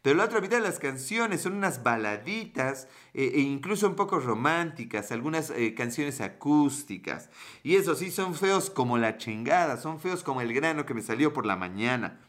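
Mexican male streamer, rambling and crude, bright forward tone. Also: mid-range voice, very animated, moderate pace. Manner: joking, crude slang, rambling. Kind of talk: casual livestream chat, reading viewer comments.

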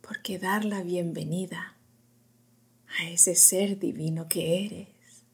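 A woman guiding a meditation in a soft, whispery, soothing voice, in short hushed phrases with long pauses. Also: on the low side, fairly even intonation. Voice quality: breathy, whispery. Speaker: meditation guide.

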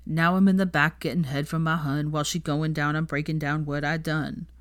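A woman's voice: sits low, flat delivery, a little fast, dry recording, natural voice. A woman voicing an exuberant, cheeky young male wizard out partying in a story reading, playful and brash. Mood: reciting lyrics.

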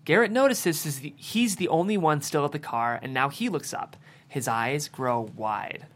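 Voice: mid-range voice, moderate pace, a bit bright. A narrator reading the stage directions of a screenplay at a table read.

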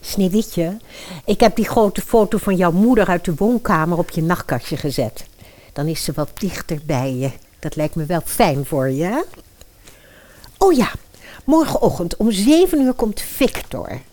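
A woman's voice: fairly low pitch, animated, unhurried, darkish tone. A woman playing a sweet-talking, prying stepmother in a Dutch fairy-tale radio play, chatty and coaxing. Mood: sweetly coaxing. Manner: chatty, coaxing, ends on tag questions.

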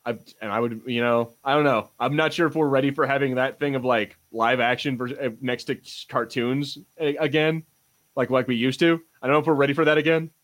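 A man co-hosting a podcast, chatting casually in a halting, rambling way with repeated false starts. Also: medium pitch, fairly even intonation, a bit bright. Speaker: podcast host. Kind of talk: casual pop-culture banter.

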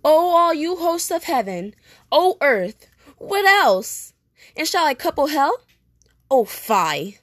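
A woman playing an anguished, vengeful young prince in a declamatory Shakespearean stage monologue with dramatic pauses. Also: high-pitched, big pitch swings, no echo, heavily acted. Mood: anguished, appalled. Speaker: performer rehearsing a soliloquy. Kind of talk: theatrical Shakespearean monologue, repeated takes.

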